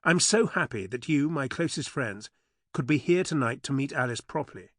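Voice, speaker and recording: Beaming, self-assured fiancé voiced by the male narrator; husky timbre, dry booth. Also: mid-range voice, animated, normal speed, no echo, lightly acted. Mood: proud. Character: confident, doting man announcing his engagement.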